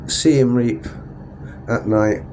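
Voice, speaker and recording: A man's voice: mid-range voice, steady tone, very slow, background noise. A man with a stuffed-up, sniffly nose, chatting in a rambling, self-correcting way while filming a travel vlog from a Ferris wheel cabin at night. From England. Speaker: travel vlogger. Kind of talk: casual vlog narration.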